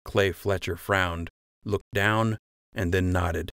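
Male narrator for fiction audiobook, fairly low voice, dry close studio-style sound. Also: steady tone, slow, fairly bright tone, no echo.

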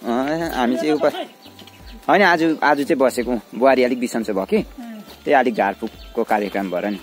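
A man's voice: medium pitch, moderate pace. A young man walks through his village home with a phone camera, narrating casually and calling out to family members along the way. Faint background music sits under his voice. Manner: chatty, mixes asides to family with narration to camera. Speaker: village vlogger. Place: rural hillside house and village, indoors and out.

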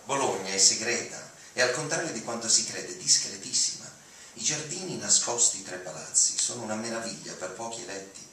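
A man's voice: lowish voice, animated, a little fast, pushed and bright. A young man giving a dramatic reading of written text at an auditorium podium. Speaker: reader. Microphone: podium mic, recorded from audience. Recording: very echoey hall, distant, noisy.

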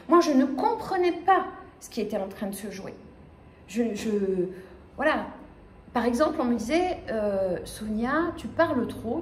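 A fairly high-voiced woman in her fifties talks to an audience in a small lecture room, animated and quick to restart her sentences. The room is echoey, with a faint hum of ambience behind her. She comes from the Paris region. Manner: animated, gesturing, many fillers and restarts. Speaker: speaker giving a talk. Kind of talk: lively conference talk.

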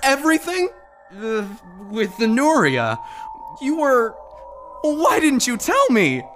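A woman's voice: high voice, huge pitch swings, takes it slowly, heavily acted. Woman acting flustered space adventurer, swooping voice. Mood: startled, indignant. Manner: exclamatory, sputtering. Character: flustered, easily embarrassed space adventurer Dante. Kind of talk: sci-fi radio comedy acting. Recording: music bed under dialogue.

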